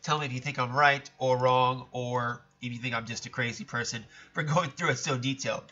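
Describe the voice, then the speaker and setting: A man talks through his thoughts in a loose, rambling way, often stopping to correct himself. His voice is bright and forceful, and a cold leaves it stuffed up and nasal. The sound is dull and lo-fi. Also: mid-range voice, fairly even intonation, a little fast, slight background noise. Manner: chatty, rambling, self-correcting. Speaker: podcast host. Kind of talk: casual solo commentary.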